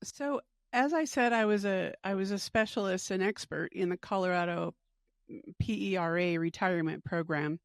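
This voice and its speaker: A woman's voice: medium pitch, normal speed, no room sound, studio quality. A middle-aged woman podcast host, talking about her work in an earnest, upbeat, rambling way with fillers. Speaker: podcast host and retirement educator. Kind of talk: casual interview chat.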